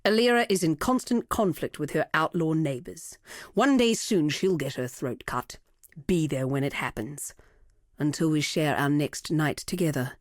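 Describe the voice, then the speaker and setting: A woman playing a cold, imperious villainess and ruthless researcher in a video game, with a haughty, commanding and deliberate delivery. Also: deep voice, dry recording, studio quality, natural voice. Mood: disdainful, scheming. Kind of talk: scripted video game voice acting.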